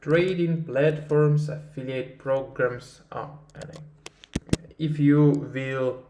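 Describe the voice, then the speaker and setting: Russian-accented male tutor, slow, halting, higher voice, echoey room. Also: steady tone, dark tone, a little hiss or hum. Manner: slow, halting, many fillers and restarts. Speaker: marketing research instructor. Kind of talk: screen-recorded tutorial.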